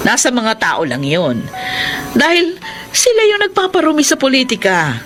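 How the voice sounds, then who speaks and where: An actress playing a pious, dignified middle-aged woman mayor, speaking in a solemn, measured, righteous way in a Filipino radio drama. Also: a little high, huge pitch swings, music in the background. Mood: grateful, earnest.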